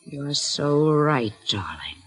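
An actress playing a bitter, menacing middle-aged wife in 1940s radio drama style, sarcastic and taunting. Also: female voice, deep voice, sing-song, takes it slowly, full character voice. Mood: mock sweet menace.

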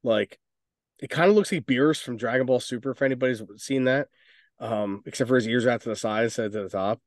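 A man's voice: medium pitch, quick pace, dry recording, studio quality. A man co-hosting a casual podcast, talking in a rambling, opinionated way with some swearing. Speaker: podcast co-host. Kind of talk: casual chat about movies and video games.

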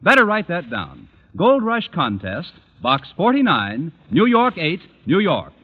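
American guy doing 1940s radio announcing, high excited pitch, tinny old recording. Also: measured pace, husky, long reverb tail.

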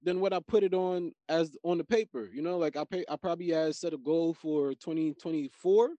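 A man in casual chat, animated and profane, riffing on his points with slang and repetition. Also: on the high side, a little fast, no room sound.

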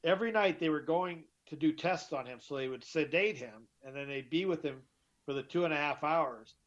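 A middle-aged man with a deeper voice, telling his story as an interview guest, emphatic and often repeating himself. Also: brisk. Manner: emphatic, repeats and restarts words. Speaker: guest, author. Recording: dull lo-fi sound.

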